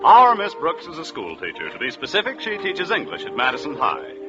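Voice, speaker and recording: A man's voice: on the high side, wildly swooping pitch, brisk, music in the background. A man announcing and narrating in the scripted style of 1940s network radio comedy. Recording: dull vintage radio recording.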